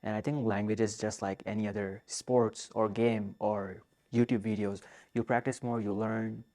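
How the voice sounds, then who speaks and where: Earnest young man, flat low voice. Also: normal speed. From Pakistan. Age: 20s. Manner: soft-spoken, earnest, with frequent "like" fillers. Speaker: vlogger. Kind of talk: casual Q and A monologue.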